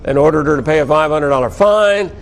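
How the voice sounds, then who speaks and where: A man's voice: fairly high pitch, fast. An American man anchoring scripted television news with a wry delivery and dry asides.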